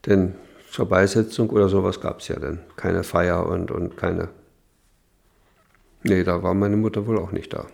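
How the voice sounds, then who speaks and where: An older man with a husky, creaky voice, haltingly recalling personal memories and searching as he goes. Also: sits low, fairly even intonation, a little mellow.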